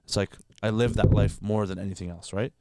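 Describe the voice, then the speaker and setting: A man's voice: on the low side, steady tone, a little mellow. A man from Canada, a guest comedian, chatting candidly on a podcast and swearing freely as he talks. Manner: rapid, candid, profane, heavy filler words. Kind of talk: casual podcast chat.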